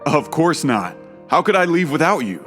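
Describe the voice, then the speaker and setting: An actor playing a devoted, wealthy suitor in a romance audio drama, speaking tenderly and earnestly. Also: male voice, on the high side, big pitch swings, moderate pace, a bit bright, faint music behind. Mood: attentive.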